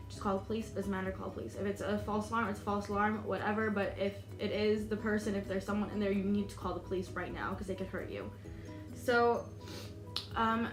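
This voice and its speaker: Chatty young American woman, echoey room. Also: mid-range voice. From United States. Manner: chatty, many "like"s and "um"s, laughs nervously. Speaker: vlogger. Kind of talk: storytime vlog. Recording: echoey room with music behind.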